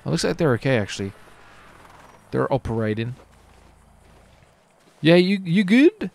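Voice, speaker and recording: A man's voice: a little high, big pitch swings, unhurried, gravelly, a little mellow. A man commentating casually on his gameplay as a streamer, with slangy, sweary talk. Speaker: streamer hosting a gaming video.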